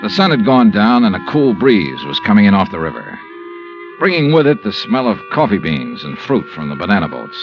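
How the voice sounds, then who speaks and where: A man's voice: fairly low pitch, very animated, a little fast. A man narrating in the first person in a laconic, hard-boiled way as the insurance investigator of a vintage radio drama. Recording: dull vintage radio recording with music.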